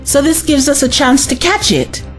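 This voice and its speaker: A woman's voice: high-pitched, animated, moderate pace, faint music behind. A young woman playing a brave, caring female companion in a sci-fi audio drama, earnest and direct. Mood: curious, determined.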